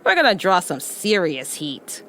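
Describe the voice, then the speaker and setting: Lively, sardonic hard-luck hacker. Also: female voice, lowish voice, measured pace, full character voice. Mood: skeptical, wry. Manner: sardonic, animated. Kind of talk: scripted audio drama acting.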